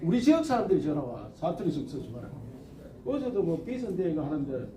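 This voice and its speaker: A man's voice: on the high side, sing-song, brisk, reverberant, distant mic, noisy background. An older man with a Gyeongsang dialect giving a rambling, folksy campaign speech to an audience, with emphatic points. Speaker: politician.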